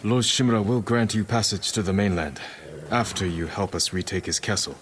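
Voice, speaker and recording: Actor as low-voiced brooding samurai warrior. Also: male voice, a little fast, a little hiss or hum, natural voice. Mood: earnest. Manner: restrained, earnest, serious. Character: earnest, brooding samurai warrior, loyal nephew. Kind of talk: dramatic game cutscene acting.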